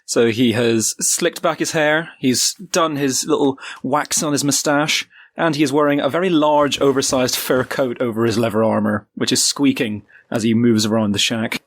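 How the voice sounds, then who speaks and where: A man's voice: mid-range voice, fairly even intonation, moderate pace. A man playing a tabletop game, describing his character in improvised narration.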